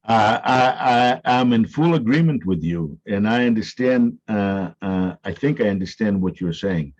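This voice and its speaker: A man hosting an interview, speaking in a measured, lecturing way with frequent hesitations. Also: fairly low pitch, animated, a little mellow. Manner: measured, lecturing with many uh fillers. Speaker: interviewer host. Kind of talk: interview conversation. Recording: dull, lo-fi sound.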